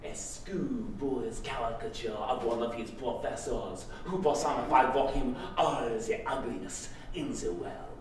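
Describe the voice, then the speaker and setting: A man playing an eccentric French playwright, giving a pompous, halting curtain speech in a live theatrical performance. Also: medium pitch, close to an everyday voice. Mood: pompous, absurd. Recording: very echoey room, distant mic, faint noise.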